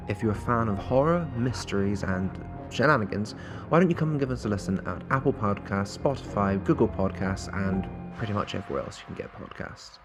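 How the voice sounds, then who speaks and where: Low-voiced man reading a promo over music. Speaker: podcast promo announcer. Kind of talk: scripted promotional read.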